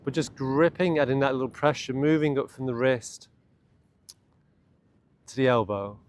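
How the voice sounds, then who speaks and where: A man leads a guided morning meditation and self-massage in a gentle, unhurried, soothing way, speaking in short phrases. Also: medium pitch. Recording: clear steady background ambient noise.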